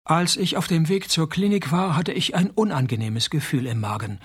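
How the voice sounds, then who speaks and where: An actor playing a wry private detective who narrates and talks in a dry, sarcastic deadpan in a radio play. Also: male voice, a little high, normal speed, natural voice. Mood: wry, matter-of-fact. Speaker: narrator and lead character.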